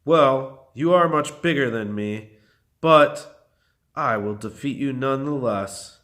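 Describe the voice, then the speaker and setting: A man's voice: medium pitch, slow pace, fairly bright tone. A man voicing a brave, defiant witch princess in a fairy tale, speaking boldly and emphatically. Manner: bold, emphatic, taunting.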